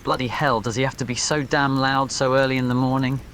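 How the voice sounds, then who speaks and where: A haughty, irritable schoolboy played by a man grumbles at a medium pitch in an audio story. Ambient noise sits behind the muttered complaints. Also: little pitch movement, fairly quick, fairly bright tone, natural voice. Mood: irritated, grumbling.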